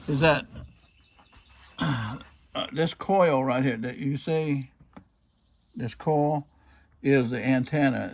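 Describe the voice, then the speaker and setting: A man with a husky voice gives a casual, unscripted demonstration, explaining things in a plain-spoken, halting way. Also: medium pitch, a bit bright. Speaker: presenter showing a restored old radio.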